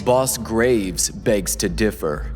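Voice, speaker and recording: A man's voice: lowish voice, expressive, slow. An actor playing a gangster's henchman in an audio drama, taunting with a mock-friendly menace. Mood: smug, threatening. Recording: long reverberant room, faint music.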